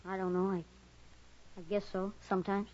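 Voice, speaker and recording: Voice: medium pitch, completely flat delivery, normal speed, darkish tone, natural voice. A performer playing a wary, guarded young boy in a radio western, speaking in short, blunt phrases. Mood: wistful. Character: wary, guarded young boy raised among Plains tribe. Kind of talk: 1950s radio western acting. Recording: dull old radio recording.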